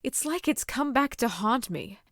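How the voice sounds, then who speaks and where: A woman plays a royal pony mare in a cartoon audio drama. Her voice is on the high side and lively in pitch, composed with a wistful edge. The sound is very dry. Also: unhurried, no room sound, heavily acted. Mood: wistful, defensive. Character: Princess Celestia, regal adult pony mare, composed, wistful. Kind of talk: animated-series fan audio drama.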